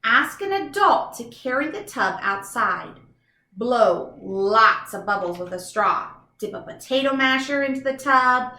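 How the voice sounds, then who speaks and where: Female read-aloud teacher for kids, higher-pitched and bright, enthusiastic, recorded in an echoey room. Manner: enthusiastic, instructive, addressing young children directly. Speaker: teacher reading a children's book aloud. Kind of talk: children's read-aloud with chatty explanatory asides.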